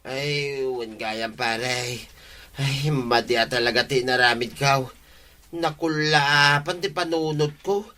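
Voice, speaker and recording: An actor playing a sheepish petty thief in an Ilocano radio comedy drama, speaking with an Ilocano accent in a hesitant, pleading, excuse-making way. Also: male voice, medium pitch, steady tone, slow, sharp tone. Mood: apologetic, pleading.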